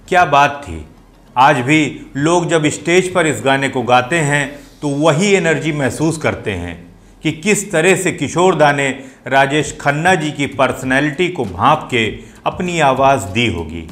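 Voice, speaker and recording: A man's voice: on the high side, lively intonation, a little slow, fairly bright tone. A man hosting a talk on playback singing, explaining with enthusiasm and admiring rhetorical asides.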